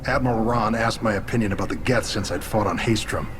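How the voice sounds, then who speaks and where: Actor as male alien soldier, deep voice, plainspoken, terse, loyal, respectful, video game dialogue with music. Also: fast, close to an everyday voice. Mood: loyal, earnest. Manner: terse, matter-of-fact, respectful.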